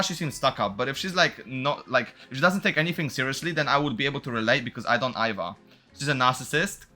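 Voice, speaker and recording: A man's voice: mid-range voice, pushed and bright. A man doing casual, slangy game-streamer commentary, blunt and given to swearing as he reacts to story scenes. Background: game music.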